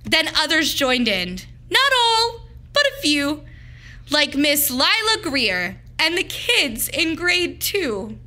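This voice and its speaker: A woman teacher reading a children's storybook aloud with dramatic, deliberate, expressive phrasing. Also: high-pitched.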